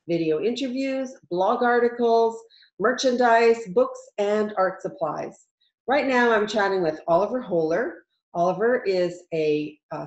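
A woman in her fifties hosting a casual interview over a video call, upbeat and quick to laugh. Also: medium pitch, darkish tone. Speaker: host. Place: home room. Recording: roomy, dull video call audio.

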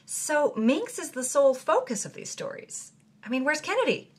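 A woman giving a theatrical, scripted narration of a memoir, dropping to a breathy hush in quiet moments. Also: high-pitched, big pitch swings, normal speed. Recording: music bed under the voice.

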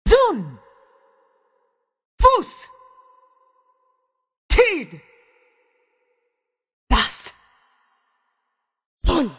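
A woman voicing a fierce warrior in a fantasy video game, crying out in short, forceful, isolated shouts. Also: extremely high pitch, full character voice.

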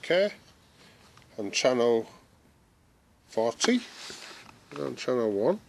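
A middle-aged man chatting casually and haltingly as he demonstrates gear, thinking aloud. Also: mid-range voice, big pitch swings, creaky, darkish tone. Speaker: hobbyist presenter demonstrating radio gear. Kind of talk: casual hands-on demonstration chat. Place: small room at home and back garden. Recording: noisy amateur camera audio.

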